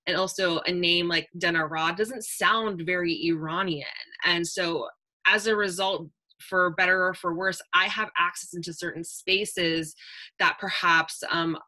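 A young woman talks on a video call panel with an earnest, reflective manner, her voice a touch low but crisp and bright. She fills her thoughts with "like" and "um" as she goes. The sound comes through a simple webcam mic. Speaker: panel speaker, writer. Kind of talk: casual panel talk. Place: indoor room with a virtual backdrop.

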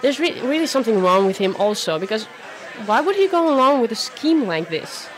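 A woman acting an expressive, wary and outspoken young woman in radio play dialogue. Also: fairly high pitch, brisk, a faint crowd, rough recording. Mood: animated.

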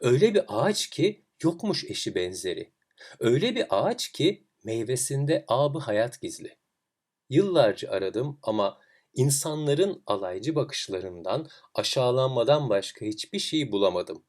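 A man voicing a weary, humble seeker in a fairy tale, pleading and sorrowful in a halting manner. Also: medium pitch, very animated, darkish tone. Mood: pleading despair.

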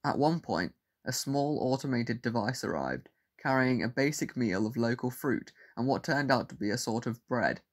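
A man's voice: medium pitch, expressive, normal speed, few pauses, lightly acted. A man narrating a scripted science fiction audio drama as its storyteller. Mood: measured storytelling.

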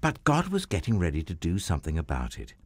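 Man, deep voice, lively melody, unhurried, children's storybook narration, dry close sound. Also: dry recording. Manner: slow, expressive, sweeping storytelling.

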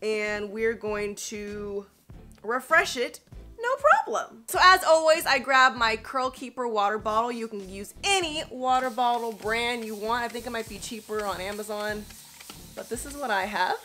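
Upbeat woman vlogger, slightly high voice. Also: animated, normal speed, pushed and bright, faint music behind. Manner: chatty, upbeat, coaching viewers. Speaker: beauty vlogger. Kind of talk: hair tutorial talking to camera. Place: small indoor studio with grey backdrop.

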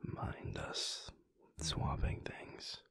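A man playing a soft, unsure young werewolf boyfriend in an intimate audio roleplay, gentle and hesitant, stammering in a breathy, husky voice. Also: extremely slow, whispered. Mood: shy, earnest.

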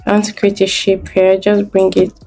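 A woman's voice: mid-range voice, monotone, normal speed, mellow, soft background music, rough recording. A woman giving a screen-recorded design tutorial, thinking aloud step by step as she works.

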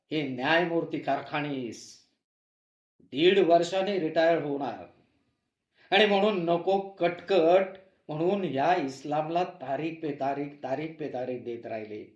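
A man's voice: fairly high pitch, slow pace, long pauses, muffled recording. A man playing an anguished narrator who cries out for justice, declaiming a theatrical monologue with emphatic repetition. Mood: sorrowful storytelling. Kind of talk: theatrical monologue in a play reading. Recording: echoey room recording.

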